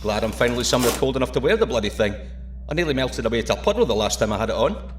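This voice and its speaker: An actor playing a joking Scottish submarine pilot who misses home, in an audio drama. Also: male voice, medium pitch, lively intonation, rapid-fire, soft background music, close to an everyday voice. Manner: cheeky, joking.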